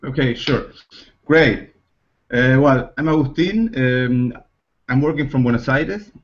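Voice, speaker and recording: A man from Germany, native in German and Spanish, casually introducing himself as a translator on a video call, repeating words haltingly. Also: medium pitch. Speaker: freelance translator. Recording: dull online call audio.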